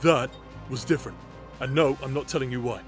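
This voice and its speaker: A man plays a jaded, paranoid demon hunter. His mid-pitched voice is sardonic and deadpan, with a music bed underneath. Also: a little fast, natural voice. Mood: dry, dismissive. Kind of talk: sci-fi audio drama acting.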